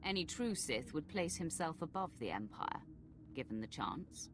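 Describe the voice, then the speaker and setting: An actress playing an ambitious, defiant Sith woman in a video game, speaking crisply and formally. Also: low voice, sing-song, normal speed, a little hiss or hum. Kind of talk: scripted video game acting.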